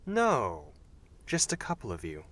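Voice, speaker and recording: A man voicing a cocky, charming young dragon assassin in a teasing, flippant way for an animated fan dub. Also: fairly low pitch, unhurried, no room sound, exaggerated voice. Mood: flippant, coaxing.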